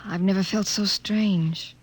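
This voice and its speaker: An actress playing an earnest, troubled young woman scientist in a 1950s radio drama, with a breathy voice and halting delivery. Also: mid-range voice, steady tone. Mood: wondering, tender.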